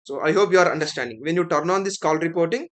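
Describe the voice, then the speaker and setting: A man's voice: fairly high pitch, fast talker. A man with an Indian accent giving a step-by-step tutorial as an instructor, explaining and often checking in with the listener. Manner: explanatory, checks in with "right?" and "okay".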